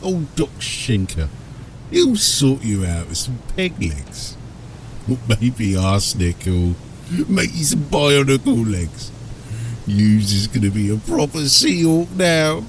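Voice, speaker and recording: A man's voice: medium pitch, very animated, takes it slowly, a little hiss or hum. A man voicing an ork crewman, a coarse-spoken underling to his boss, in a fantasy audiobook. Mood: mocking. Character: hesitant, eager-to-please male ork crewman underling. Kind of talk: fantasy audiobook character acting.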